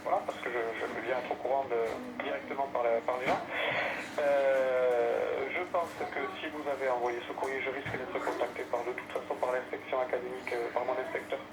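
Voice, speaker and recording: A man speaking as a school director on a phone call, polite, hesitant and halting. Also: mid-range voice, fairly even intonation. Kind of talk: phone conversation. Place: recorded telephone call. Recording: very noisy, echoey phone call recording.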